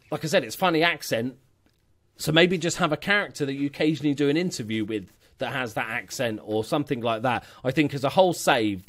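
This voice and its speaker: A man giving a casual, rambling critique of a gaming channel as a YouTube coach, often correcting himself mid-sentence. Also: mid-range voice. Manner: rambling, self-correcting, chatty. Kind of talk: casual screen-share critique.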